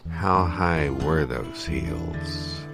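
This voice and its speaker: Rambling folksy interviewer played by a man, low voice, improv audio comedy, loud guitar music underneath. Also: creaky, full character voice. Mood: wistful, brooding. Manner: halting, folksy, apologetic. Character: rambling, good-natured male host in a makeshift studio. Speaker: interview host.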